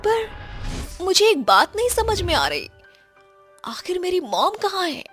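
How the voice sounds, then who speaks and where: An actress as an earnest young woman in a horror tale. Her voice is extremely high and girlish in pitch, speaking sincerely with music faintly behind her. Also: sing-song, measured pace, a bit bright, heavily acted. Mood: grateful, puzzled. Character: earnest, pleading young woman facing a ghost. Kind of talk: dramatised Hindi horror story.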